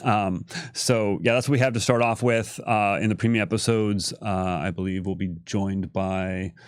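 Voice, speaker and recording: A man answering questions on a casual livestream as a creative director, halting and repeating words as he thinks. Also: on the low side, fairly even intonation, clear, resonant tone, no echo, clean studio sound.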